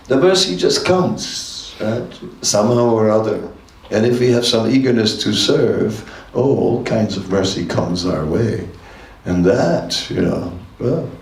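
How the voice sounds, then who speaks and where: A man's voice: on the low side, a little mellow. An older man, a monk giving a temple class, telling stories in an easy conversational way and chuckling often. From Netherlands. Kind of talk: temple lecture with anecdotes. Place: temple hall. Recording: roomy hall from a distance.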